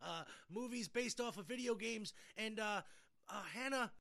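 A man's voice: high voice, moderate pace, a bit bright, slight background noise. A middle-aged American man hosting a podcast in casual, jokey, rambling chat. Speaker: podcast host.

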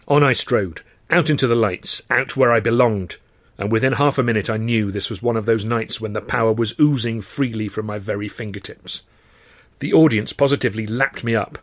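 A man's voice: fairly low pitch, no room sound. A man reading a first-person novel aloud as scripted audiobook narration. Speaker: author reading his own novel, narrator.

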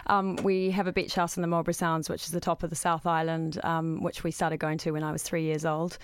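A woman's voice: low-pitched, flat delivery, fairly quick, clean tone, no room sound. A woman in her forties from New Zealand with a New Zealand accent, a podcast guest telling her story in an enthusiastic, rambling way. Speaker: guest restaurateur. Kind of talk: relaxed conversational storytelling.

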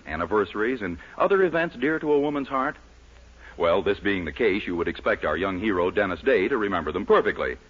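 Vintage male radio announcer, bright and animated, old dull broadcast sound. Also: on the low side, slightly rough. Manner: polished, lilting announcer delivery. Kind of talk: 1940s radio announcing and narration.

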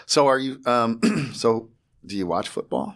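A woman hosting an interview, playful and teasing, with quick laughs. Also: rumbling low, animated. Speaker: podcast host. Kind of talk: casual interview chat.

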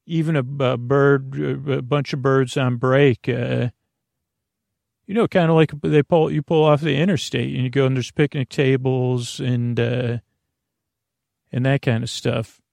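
A man with a creaky voice hosting a bedtime podcast, soothing and whimsical, meandering and halting as he interrupts himself. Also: medium pitch, fairly even intonation, darkish tone, dry recording. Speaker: podcast host. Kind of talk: rambling bedtime monologue.

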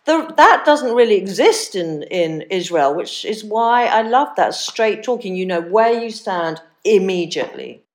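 A middle-aged woman hosting an interview podcast, talking directly and chattily and letting her thoughts trail off quietly at the end. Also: mid-range voice, animated, unhurried, hardly any pauses. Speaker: podcast host. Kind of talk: casual interview chat.

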